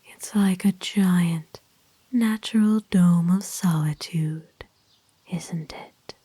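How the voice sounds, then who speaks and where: A woman voicing a kind, doting aristocratic vampire in an ASMR roleplay, speaking formally and tenderly in a soft, breathy whisper. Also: lowish voice, no room sound. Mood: wistful, fond. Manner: formal, genteel, tender, unhurried. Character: kind, doting aristocratic female vampire owner, gently possessive.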